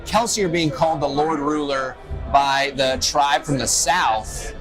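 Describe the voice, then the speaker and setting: A man's voice: on the high side, unhurried. A man co-hosting a casual fan discussion, speaking in an opinionated, enthusiastic way, recorded at a noisy convention. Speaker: podcast co-host. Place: loud festival venue. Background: loud music.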